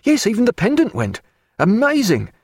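An eager young naval officer played by a male narrator, pitched well up with lively swings. He is brisk and earnest, an audiobook character of the sailing age, heard very close and dry. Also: breathy edge, no room sound, heavily acted. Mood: excited.

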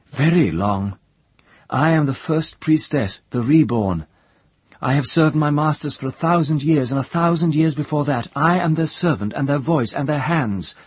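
A husky-voiced man voicing a fierce, scornful young priestess in an audiobook reading, her lines shrill and threatening. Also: no room sound. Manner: shrill, threatening, rising to a rant.

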